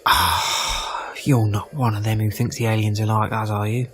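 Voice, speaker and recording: An actor playing a prejudiced, chatty market-stall trader in an audio drama, rambling in a folksy, defensive way. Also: male voice, on the low side, flat delivery, moderate pace, a bit bright, slight background noise, natural voice. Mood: self-righteous. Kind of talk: full-cast audio drama acting.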